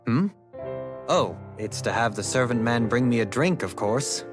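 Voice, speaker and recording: A refined young nobleman voiced by a male actor from a video game. He speaks formally and a little pompously, in a mid-pitched voice with lively intonation, serenely unaware of how odd he sounds. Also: unhurried, over music, close to an everyday voice. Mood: unruffled, courtly. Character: refined, unruffled aristocratic young lord, politely oblivious. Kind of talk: video game cutscene acting.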